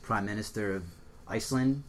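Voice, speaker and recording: A man's voice: on the low side, normal speed, a little hiss or hum. A young man co-hosting a comedic podcast, dry and hesitant with frequent stammers. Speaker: podcast co-host.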